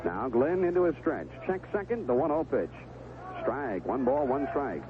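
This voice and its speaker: Animated American baseball announcer, tinny old radio. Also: male voice, medium pitch, moderate pace. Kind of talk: live radio sports commentary. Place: stadium broadcast position. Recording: noisy, tinny 1970s AM radio broadcast.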